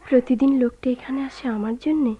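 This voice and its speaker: A woman's voice: high-pitched, steady tone, a little fast, breathy edge, phone-quality sound. A woman acting a shy figure who muses guiltily to herself in a radio play soliloquy. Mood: flustered musing.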